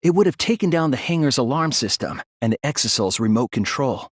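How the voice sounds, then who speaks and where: A man voicing an earnest, soft-spoken teenage boy detective in a video game, reasoning things out deliberately with a slightly breathy voice. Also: medium pitch, lively intonation, no echo, studio quality. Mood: focused, explanatory. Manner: deliberate, earnest, analytical explaining with dramatic emphasis. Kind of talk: English video game voice acting, deductive monologue.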